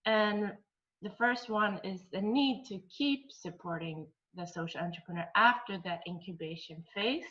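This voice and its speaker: A woman presenting research insights for a student project in a halting way, with many ums and uhs. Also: mid-range voice, a bit bright. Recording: slightly muffled recording.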